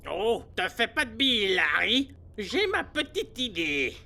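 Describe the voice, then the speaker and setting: A woman voicing a small, cocky male animal sidekick with a creaky voice and brash, slangy delivery in a French animated film dub. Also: on the high side, measured pace, heavily acted. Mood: brash, confident. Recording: loud music under the voice.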